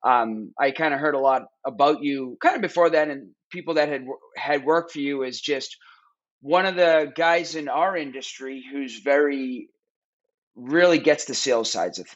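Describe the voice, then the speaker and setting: Easygoing podcast host. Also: male voice, mid-range voice, normal speed. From Boston area, USA. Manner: relaxed, rambling, many fillers. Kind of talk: casual interview chat. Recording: slightly dull lo-fi call audio.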